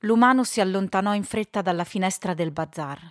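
A woman narrates a storybook in scripted audiobook style. Her pitch sits in the middle, and the recording is dry and close. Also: brisk, dry recording.

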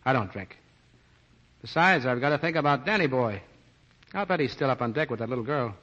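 A man's voice: mid-range voice, animated, a little fast, a bit bright. An actor playing a weary, plain-spoken American policeman, awkwardly smitten, in a 1940s radio drama. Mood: friendly. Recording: dull old 1940s radio recording.

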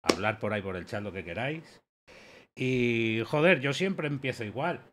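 A man in his late thirties hosts a laid-back chat his home streaming room, talking loose, slangy and friendly, often repeating himself. His voice sits at an ordinary middle pitch. A faint hum of room ambience runs underneath. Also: expressive, normal speed. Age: late 30s. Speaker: podcast host. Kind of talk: casual chat.

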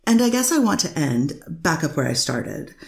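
A woman reads a methodical defense attorney working through her questions. Her voice sits low with a gravelly edge. The room rings with echo. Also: big pitch swings, normal speed, a little mellow, heavily acted. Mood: measured. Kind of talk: readers theater courtroom reading.

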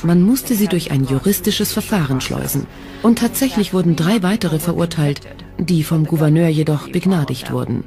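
A woman's voice: low voice, animated, normal speed, vocal fry. A woman speaking a scripted voice-over for a documentary. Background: background music.

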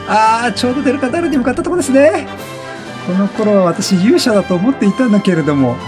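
A man hosting a let's play with excited, exclamatory commentary, often repeating words in bursts. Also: sits high. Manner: laughs often while talking.

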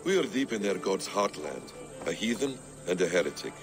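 A brooding, sly warrior-scholar from a video game. The voice sits low but moves expressively, with a sardonic, probing manner. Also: male voice, very animated, moderate pace, over music. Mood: scheming. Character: wry, shrewd, brooding male warrior-scholar, a sly schemer. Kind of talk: video game cutscene acting.

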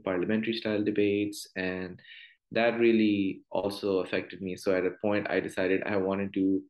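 A young man in his twenties with a Pakistani accent, a doctor answering interview questions on a video call, earnest and full of "you know" fillers. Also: on the low side. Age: 20s. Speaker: guest doctor. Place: small room at home. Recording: echoey room on video call.

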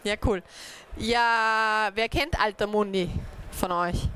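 A woman's voice: medium pitch, fairly even intonation, slow, background noise. A young woman, a native speaker, giving an informal talk to a small group about community networks, hesitant with many fillers. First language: German. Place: dark event room, on stage.

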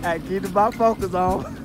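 A young American man with a very high-pitched voice comments casually for a vlog. He hypes everything up and repeats his phrases. Loud music plays over the phone recording. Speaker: vlogger. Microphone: handheld phone.

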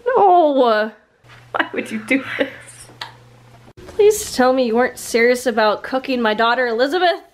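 A woman's voice: high-pitched, animated, normal speed. A young woman playing an exasperated, foul-mouthed male killer in an improvised cosplay skit, indignant and sarcastic. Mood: outraged. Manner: indignant, sarcastic, heavy swearing. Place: home kitchen and dining room. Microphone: handheld camera.

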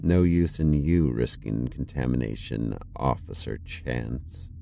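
A man's voice: extremely low pitch, expressive, slow, creaky, mellow, full character voice. An actor playing a curt, commanding male superior in a space drama, formal and condescending. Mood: cool, dismissive.

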